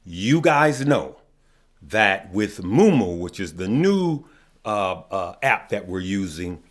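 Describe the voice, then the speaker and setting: A man hosting a stock market video, losing his voice, who asks questions and then answers them himself and calls his viewers good people. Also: fairly low pitch. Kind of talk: casual finance talk to viewers.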